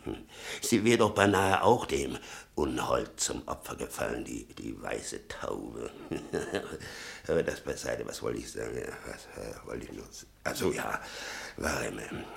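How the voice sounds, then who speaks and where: Sardonic old father in a radio play, super deep creaky voice, swings up and down as he rambles. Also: exaggerated voice. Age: older. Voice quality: gravelly. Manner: rambling, sardonic, muttering and flaring up. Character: bitter, sarcastic old father of a convicted son. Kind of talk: German radio play acting.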